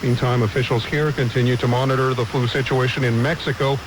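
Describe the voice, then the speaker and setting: A man's voice: mid-range voice, fairly even intonation, fast talker. An American man reading scripted news as a radio anchor on a hissy recording. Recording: hissy, noisy radio aircheck.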